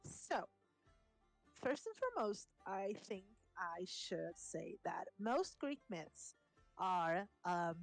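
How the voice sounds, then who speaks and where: A woman from Portugal, a native Portuguese speaker, explaining mythology in casual podcast chat, pausing often with ums. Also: lowish voice, big pitch swings, faint music behind. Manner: hesitant, many ums and restarts. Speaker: podcast co-host, explaining history.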